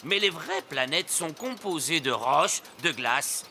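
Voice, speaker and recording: A man's voice: on the high side, lively intonation, measured pace, pushed and bright, a little hiss or hum, heavily acted. A man voicing an earnest adult rabbit museum guide in a French cartoon, explaining things like an instructive showman.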